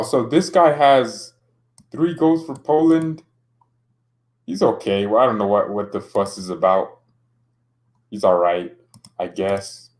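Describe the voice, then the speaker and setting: Low-voiced young guy chatting on stream, joking around, reading comments out loud. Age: young adult. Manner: joking, reads chat comments aloud. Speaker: football streamer. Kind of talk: casual live-stream chat.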